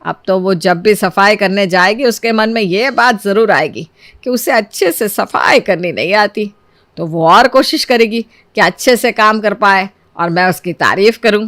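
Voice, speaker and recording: A woman's voice: mid-range voice, moderate pace, fairly bright tone, no room sound, close to an everyday voice. A woman voicing an older, scheming mother-in-law in a cartoon moral story, lecturing and self-assured. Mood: scheming, reassuring.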